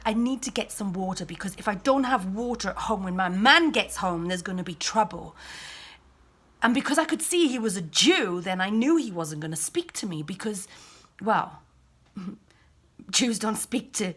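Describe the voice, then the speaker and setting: A woman's voice: mid-range voice, slightly rough. A woman performing a first-person dramatic monologue as a weary outcast Samaritan woman, confiding and dropping to whispers. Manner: confiding, shifts between loud cries and hushed whispers.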